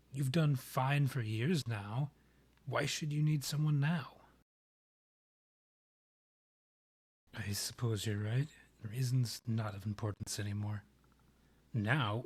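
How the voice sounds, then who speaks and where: A man playing a proud, courtly vampire monarch in a scripted ASMR roleplay, speaking formally and with measured, theatrical phrasing in a husky, breathy voice. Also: medium pitch, a little mellow. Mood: scornful, supportive.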